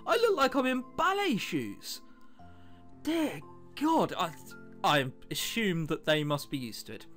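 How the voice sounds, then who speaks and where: Let's player reading visual novel stuff out loud, really lively pitch, wry little asides, game music behind. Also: unhurried. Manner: self-interrupting, wry joking asides. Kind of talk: casual gameplay commentary mixed with reading visual novel text aloud.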